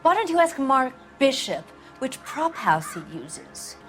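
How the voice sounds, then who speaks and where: A woman's voice: high-pitched, sing-song, unhurried, faint music behind. An actress playing a proud, sarcastic female movie star who sounds drowsy and drifts into whispers. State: drowsy, drugged. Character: proud, sardonic female film star, drugged.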